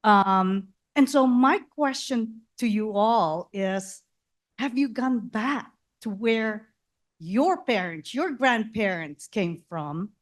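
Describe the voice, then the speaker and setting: A woman's voice: medium pitch, expressive, slow pace. A woman with a Filipino accent from the Philippines, politely asking a panel a question from the audience on a handheld mic. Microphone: handheld microphone passed through the audience.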